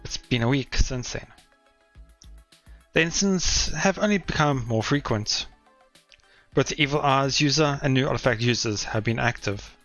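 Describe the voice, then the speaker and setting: Man reading teenage schoolboy narrator, wry, self-deprecating, dry delivery, average pitch, faint music behind. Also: moderate pace, a bit bright, lightly acted. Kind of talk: reading visual novel text aloud.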